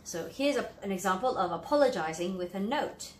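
A woman's voice: mid-range voice, animated, brisk. Woman reading to children in echoey room. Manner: simple, explanatory phrasing for young children. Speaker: children's storybook reader. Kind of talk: read-aloud commentary on picture book. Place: indoor room, at a table. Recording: roomy echo, light background noise.

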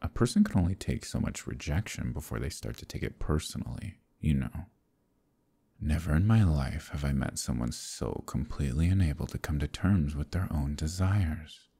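A man voicing an arrogant, scheming Demon King who taunts and menaces in an ASMR roleplay, often dropping to a husky whisper. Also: a bass voice, expressive, moderate pace, mellow, heavily acted. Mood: scornful. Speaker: voice actor.

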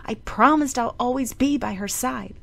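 A woman plays a devoted seven-year-old boy in a storybook reading. He talks gently and reassuringly, pitched a little above her own voice, with a gravelly grain. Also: normal speed. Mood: pleading. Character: devoted young boy, seven, protective twin.

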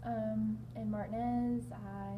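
A woman's voice: on the high side, flat delivery, slow, phone-quality sound. A young woman, a wellness director, answering interview questions in a friendly, explanatory way. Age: young adult. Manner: friendly, explanatory, laughs easily. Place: large indoor hall. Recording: distant mic with background noise.

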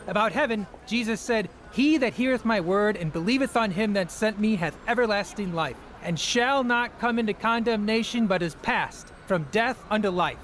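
An earnest young male preacher speaks in a slightly high voice, emphatic and sure of his faith. It is radio drama, with faint street noise around him. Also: normal speed, few pauses, a bit bright. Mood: fervent preaching. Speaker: actor.

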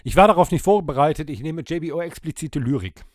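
Animated higher-voiced man, casual podcast banter. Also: quick pace, dry recording, studio quality. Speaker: podcast co-host. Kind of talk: casual chat.

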